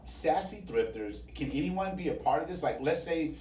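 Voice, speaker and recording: A man's voice: on the high side, animated, normal speed, darkish tone. An American man hosting a casual radio interview, informal and repeating phrases for emphasis. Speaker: radio host. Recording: roomy, echoing, distant microphone.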